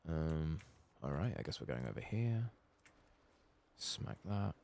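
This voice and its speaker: A man giving soft-spoken, sometimes whispered ASMR game commentary, muttering casually and swearing to himself. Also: extremely low pitch, sing-song, slow pace, gravelly. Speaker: ASMR gaming streamer.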